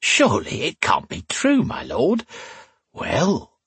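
A man's voice: a little high, wildly swooping pitch, slow, a bit bright, husky, no room sound, voice pushed up, heavily acted. A man voicing a coarse, lecherous middle-aged tavern woman with a suggestive, folksy drawl in a fantasy audiobook. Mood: flirtatious, lecherous. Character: lecherous, homely heavy-set tavern woman in her forties, coarse.

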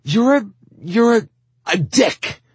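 Man voicing a fiery, emotional young woman, pitched up. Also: normal speed, slightly rough, dry recording. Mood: angry, flustered. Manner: emotional, expressive. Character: fiery, flustered, wounded young woman in love. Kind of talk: audiobook character acting.

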